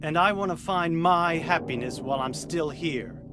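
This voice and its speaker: An actor playing a smug, worldly cultist in a video game, smooth-tongued and mocking. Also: male voice, on the high side, normal speed, heavy background noise, natural voice. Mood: taunting. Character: sly, smug, worldly man of a religious cult. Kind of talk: video game cutscene acting. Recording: music under the voice. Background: loud music.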